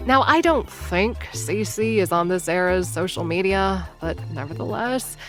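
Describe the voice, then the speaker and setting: A woman's voice: fairly low pitch, animated, a little slow, a bit bright, faint music behind. A woman playing a scatterbrained young would-be influencer and time traveler, narrating to the listener in a bubbly, impulsive, rambling way. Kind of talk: audio drama narration to the listener.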